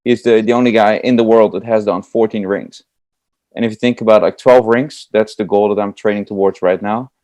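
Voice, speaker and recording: A man's voice: fairly low pitch, fairly even intonation, a little fast, a little mellow, no room sound. A young Dutch man with a Dutch accent, a juggler answering interview questions in an eager, chatty way with many fillers. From Netherlands. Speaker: guest, comedy juggler.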